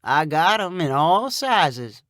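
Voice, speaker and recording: A woman's voice: sits low, very animated, takes it slowly, fairly bright tone, no echo, clean studio sound, full character voice. A woman voicing a laid-back rural Southern fish seller with a folksy drawl in a short story. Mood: gruff, amused. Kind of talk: audiobook character dialogue.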